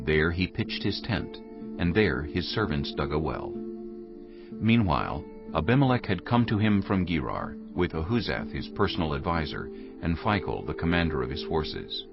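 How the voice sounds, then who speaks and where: A man's voice: low-pitched, very animated, normal speed, gravelly. A man narrating a dramatized scripture reading in a solemn storytelling style. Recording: dull recording over soft music.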